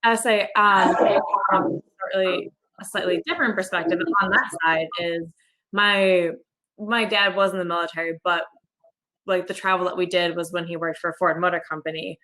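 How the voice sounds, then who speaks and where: Young woman panelist on a video call: lowish, bright voice, chatty, lots of "like". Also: steady tone, moderate pace, low-quality recording. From United States (Michigan). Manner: chatty, many fillers and "like". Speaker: Navy officer panelist. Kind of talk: casual panel talk.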